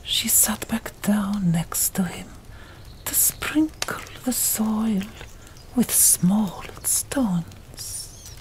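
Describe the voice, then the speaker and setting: A woman narrating an audiobook in a breathy ASMR whisper, hushed and deliberate, pausing between short phrases. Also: mid-range voice, low-quality recording.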